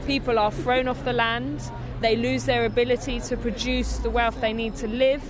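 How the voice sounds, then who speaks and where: A woman at an outdoor protest speaking in an intense, deliberate way. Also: fairly high pitch, level tone. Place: outdoors at a city protest camp. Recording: crowd noise behind.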